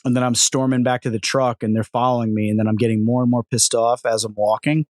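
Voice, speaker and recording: A man's voice: mid-range voice, quick pace, no echo. A man co-hosts a podcast with a mid-range voice, cracking jokes as he tells stories. The sound is dry and close, with no room around it. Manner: joking, animated storyteller. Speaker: podcast co-host. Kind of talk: casual podcast chat.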